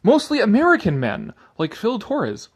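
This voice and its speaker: A man's voice: high-pitched, wildly swooping pitch, normal speed, slightly rough. A man giving a sardonic commentary and theatrical reading of an article, drifting into rambling asides.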